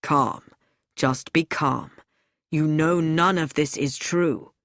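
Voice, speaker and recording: A woman reading an audiobook, lowering her voice to play a calm, firm older man. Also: unhurried, no echo, studio quality. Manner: calm, clipped.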